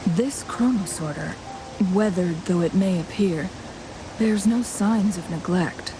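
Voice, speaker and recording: An actress playing a composed, scholarly young woman in a game, speaking formally and articulately in measured phrases. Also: on the low side, poor audio. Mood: thoughtful, measured. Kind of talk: scripted game dialogue. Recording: game music behind the voice.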